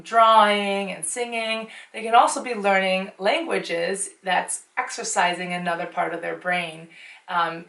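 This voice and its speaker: A woman's voice: fairly low pitch, vocal fry, a bit bright. A woman from the United States whose first language was Chinese, giving earnest parenting advice in a casual vlog, with frequent ums. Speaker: parent and show host. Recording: roomy echo, mic at some distance.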